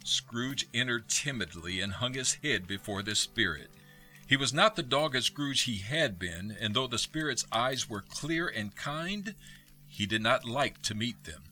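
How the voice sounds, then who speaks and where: A man plays a theatrical storyteller with a mid-pitched, very bright and forceful voice. He narrates articulately, relishing each description, over faint music. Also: expressive, unhurried. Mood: relishing, wondering.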